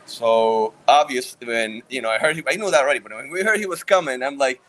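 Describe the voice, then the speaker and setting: A man with a Puerto Rican accent co-hosting a podcast at a live event, playful and quick to joke and laugh. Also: medium pitch, a bit bright, poor audio. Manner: playful, joking, laughs often. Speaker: podcast co-host. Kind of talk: casual chat at a live event.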